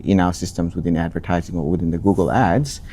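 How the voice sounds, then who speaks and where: A young man from Nepal, answering interview questions in a relaxed way, often restarting phrases with ums. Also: sits low, fast talker, slight background noise. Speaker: interviewee, account manager.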